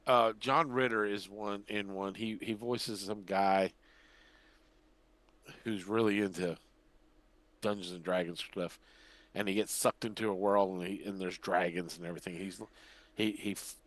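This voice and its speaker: Older man, low voice, dry sound. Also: steady tone, measured pace, long pauses, a bit bright, no room sound. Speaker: podcast host. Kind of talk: casual chat.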